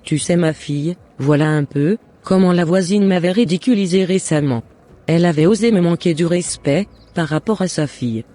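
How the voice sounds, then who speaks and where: A man voicing a jealous, scheming mother and neighbour in an animated moral drama, with deliberate scripted phrasing. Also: fairly high pitch, lightly acted. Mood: aggrieved, plotting. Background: faint music.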